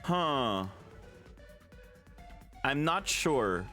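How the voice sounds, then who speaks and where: A man's voice: medium pitch, very animated, very slow, sharp tone, faint music behind. A man doing casual let's play commentary, wry and often muttering as he thinks things through.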